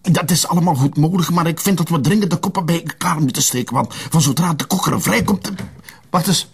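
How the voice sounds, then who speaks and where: A man with a Flemish accent playing an anxious, strained father with secrets, speaking tensely and haltingly in a TV drama. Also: on the high side, creaky, slightly rough, exaggerated voice. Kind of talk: TV drama dialogue.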